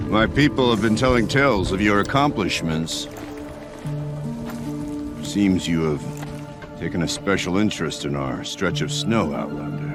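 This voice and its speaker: Game antagonist: proud chieftain, commanding, low voice with big swings, scornful. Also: male voice, lively intonation, measured pace, long pauses. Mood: suspicious, cold. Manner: commanding, disdainful. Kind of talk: video game dramatic voice acting. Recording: background music under dialogue.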